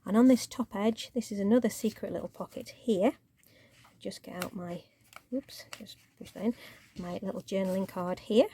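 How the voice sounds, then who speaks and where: A woman hosting a crafting channel, chatting casually through her project, a little croaky and sometimes dropping to a near-whisper. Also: medium pitch, moderate pace. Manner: chatty, relaxed show-and-tell, drops to near-whisper while handling paper. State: croaky voice, clearing throat.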